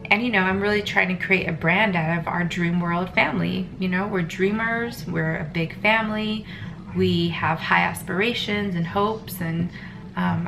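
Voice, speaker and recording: A woman with a stuffy head cold talking earnestly in a rambling personal vlog, with many pauses and ums. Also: on the low side, creaky, a bit bright, slight background noise, poor audio. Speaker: family vlogger. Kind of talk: casual personal vlog monologue.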